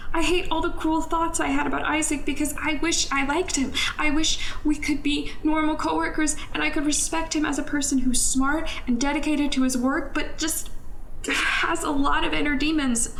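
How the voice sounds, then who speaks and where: A woman playing an anxious, self-critical young psychiatrist in an audio drama, halting and pleading as she interrupts herself. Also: sits high, fairly bright tone. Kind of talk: emotional audio drama dialogue. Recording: roomy echo with faint background noise.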